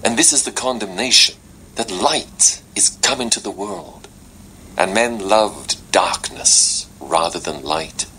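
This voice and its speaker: A man giving a solemn, measured and dramatic scripture reading, played back through a small portable speaker. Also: on the low side, bright, forceful tone. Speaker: audiobook narrator. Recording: small device speaker playback, noisy room.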